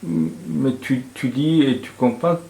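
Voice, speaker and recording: Guy interviewing a poet outdoors, gravelly voice, hesitant, echoey with faint street noise. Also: fairly high pitch, takes it slowly, warm tone. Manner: searching for words, hesitant. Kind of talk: casual interview questions. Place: outdoor poetry fair in a city square.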